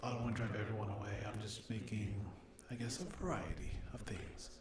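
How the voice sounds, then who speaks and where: Guy w low soft voice doing asmr intro, kinda hesitant, slips into whispers, echoey room. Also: fairly quick. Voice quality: soft, often dropping into whisper. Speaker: ASMR roleplay video creator and host. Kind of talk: casual ASMR intro chat to viewers. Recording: roomy echo.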